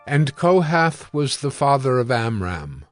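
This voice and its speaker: A man narrating scripture from a script in a solemn, formal and measured way. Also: medium pitch, sing-song, soft background music.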